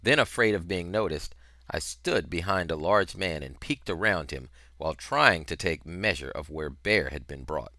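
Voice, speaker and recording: A middle-aged man narrating a novel in an expressive, dramatic style, with emphatic, deliberate phrasing on a headset mic. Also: low voice, dry recording. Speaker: reader of a children's novel.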